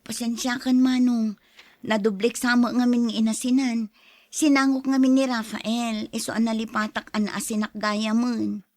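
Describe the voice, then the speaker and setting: A woman acting a higher-voiced, patient Ilocano younger sister. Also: little pitch movement, normal speed, a little mellow, no echo, natural voice. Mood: apologetic. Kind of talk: radio-style family drama acting.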